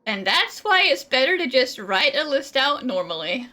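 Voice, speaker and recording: A woman's voice: high voice, sing-song, moderate pace, bright, forceful tone. A woman commenting casually on a video game playthrough in a jokey, giggly, conversational way. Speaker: gaming video host.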